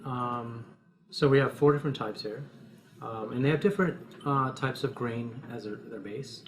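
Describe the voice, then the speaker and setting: A man's voice: medium pitch, moderate pace, a little hiss or hum. A man with an American accent speaking casually as a bar co-founder, explaining things in an interview. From United States.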